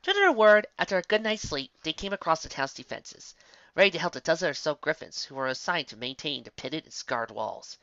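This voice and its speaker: A woman's voice: sits low, big pitch swings, fairly quick, a bit bright, dry recording. A woman narrating scripted fantasy fiction as a story reader, on a slightly dull recording.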